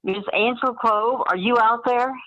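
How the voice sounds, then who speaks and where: A woman's voice: a little high, moderate pace, a bit bright, muffled recording, lightly acted. A woman acts out a self-assured city mayor in a radio play. She speaks in polished, upbeat political phrases, as if addressing the public from a podium. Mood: proud, rallying. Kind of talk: amateur radio play acting.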